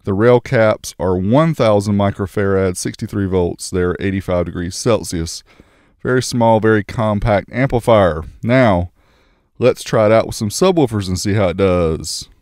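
A man's voice: on the low side, moderate pace, a little mellow, dry recording, clean studio sound. A man reviewing car audio gear, narrating a test in a matter-of-fact, casual, technical way.

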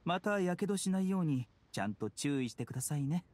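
An actor playing a calm, courteous young male doctor in a video game, speaking politely and in a measured, formal way. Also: a little high, a little hiss or hum, lightly acted. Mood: gentle, instructing. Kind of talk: Japanese video game voice acting. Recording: studio voice over game music. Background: background music.

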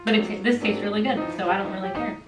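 Young American woman, playful home-cooking host, distant mic, loud guitar and piano music behind. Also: medium pitch, fairly even intonation, rapid-fire, reverberant. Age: young adult. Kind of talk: casual recipe demonstration. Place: home kitchen, indoors. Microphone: camera a few steps away.

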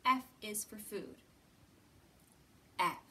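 A woman's voice: mid-range voice, very slow, sharp tone. An American woman in her thirties leading a children's storytime lesson in simple, encouraging, instructional phrases. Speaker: children's librarian and storytime host. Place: library room, indoors. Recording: distant mic with background hiss.

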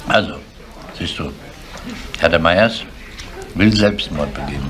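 An actor playing a grumpy, sceptical police inspector in a 1970s radio crime drama, insistent and dry. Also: male voice, deep voice, measured pace, fairly bright tone.

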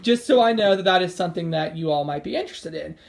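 Creaky-voiced woman chatting casually. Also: fairly low pitch, expressive, fast talker, darkish tone. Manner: hedging, informal, rambling. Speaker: vlogger. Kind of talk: casual haul vlog chat. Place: indoors at home, over a table of groceries.

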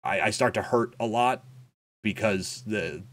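A young man with a slightly low voice speaks candidly to his viewers in a personal update. He is reflective and halting, pausing on fillers and restarting his sentences. He is recorded close on a desk microphone in a small home room. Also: a bit bright. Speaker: content creator. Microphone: boom-arm desk mic, wearing headphones.

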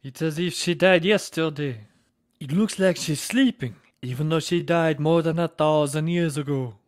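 Male actor as an awestruck church attendant, fairly high male voice, dry close sound, audio drama. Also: normal speed, no room sound, close to an everyday voice. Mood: amazed.

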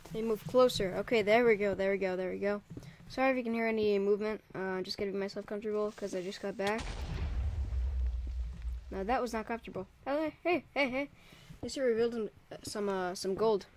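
A man's voice: high voice, fairly even intonation, brisk, a little hiss or hum. A young man, probably a teen, casually commentating a Minecraft let's play as server host, rambling and easily startled. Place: home room by a window. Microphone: desk mic, drifts off it.

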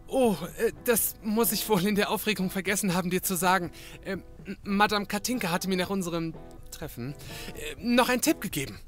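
An actor playing an eager, dry-witted young assistant to a detective in a comedy audio drama, with a nagging, excitable manner. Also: male voice, high-pitched, brisk, faint music behind. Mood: sheepish.

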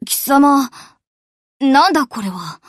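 A woman voicing a gruff, commanding young female warship girl in an anime game, with curt, rough lines in a lowered voice. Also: animated, slow pace, no echo, studio quality.

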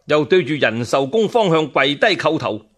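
A man's voice: fairly high pitch, sing-song, moderate pace, fairly bright tone. A man with a Cantonese accent narrating a historical tale as a dramatic storyteller.

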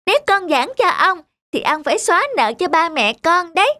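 A woman voicing a clever little village boy in a children's cartoon, polite but cheeky and quick-witted. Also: extremely high pitch, sing-song, bright, forceful tone, no echo, studio quality, heavily acted. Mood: cheeky, confident.

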